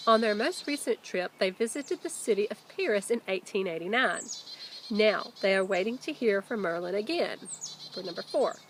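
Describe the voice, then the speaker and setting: Lady teacher doing a storybook read-aloud, medium pitch, expressive, bit of background noise. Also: normal speed. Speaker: teacher reading aloud to pupils.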